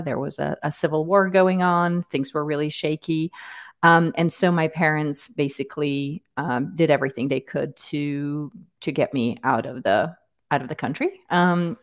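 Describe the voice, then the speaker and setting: A woman from Serbia whose first language is Serbian. Her voice is pitched somewhat low for a woman. She answers interview questions in a relaxed conversational style, speaking into a headset on a video call. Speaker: podcast co-host being interviewed. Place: indoor room, on a video call.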